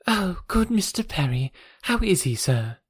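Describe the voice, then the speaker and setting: A man's voice: high voice, very animated, a little slow, slightly rough, dry recording, studio quality, voice pushed up, full character voice. A man voicing an affectionate young mother who speaks eagerly and politely, in an audiobook. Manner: eager, polite, softly raised. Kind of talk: audiobook character reading.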